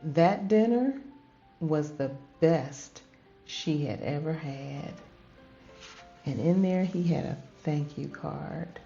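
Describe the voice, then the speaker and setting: A woman reading a children's story aloud as host, with dramatic, drawn-out phrasing in a grandmotherly manner. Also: low voice, gravelly, dark tone. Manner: addresses listeners as babies, grandmotherly. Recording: dull lo-fi audio with music behind. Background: background music.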